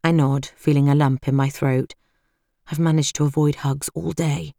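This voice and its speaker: A woman's voice: low voice, level tone, normal speed, gravelly, warm tone, dry recording, studio quality. A British woman reading first-person fiction as an audiobook narrator.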